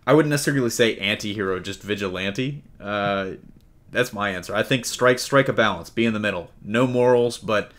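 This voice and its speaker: Male podcast host, lowish pitch, casual and jokey panel chat, unafraid to swear. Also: fairly quick, a bit bright. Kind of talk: casual comic-book movie panel chat.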